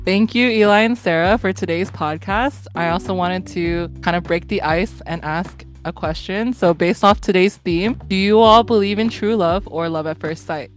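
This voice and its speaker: A woman's voice: fairly low pitch, moderate pace, few pauses, soft background music. Earnest young woman hosting a podcast. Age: 20s. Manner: warm, earnest, often laughing, many fillers. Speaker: podcast host. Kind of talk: casual podcast chat.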